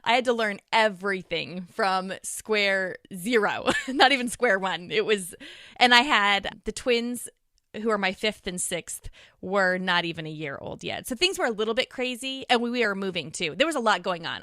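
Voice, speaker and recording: A middle-aged woman with a bright, forward voice in a dry, close recording. She talks in a chatty, animated way, as a podcast guest sharing casual conversation. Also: mid-range voice, normal speed, no room sound. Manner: animated, chatty, laughs often.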